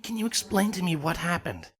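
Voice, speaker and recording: A man voicing an ancient, regal female pony princess, soft-spoken and composed but full of grief. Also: high-pitched, sing-song, brisk, a little hiss or hum, voice pushed up. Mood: composed concern.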